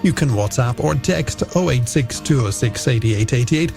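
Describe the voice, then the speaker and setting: A man's voice: medium pitch, lively intonation, moderate pace, creaky. A man presenting a late-night music radio show in chatty, playful, scripted patter.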